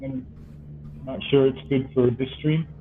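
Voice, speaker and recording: A man's voice: medium pitch, big pitch swings, a little slow. An older man from Canada chatting casually on voice chat while gaming, rambling and hesitant, trailing off mid-thought. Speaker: fellow player on voice chat. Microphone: voice-chat mic. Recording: muffled tinny voice-chat mic.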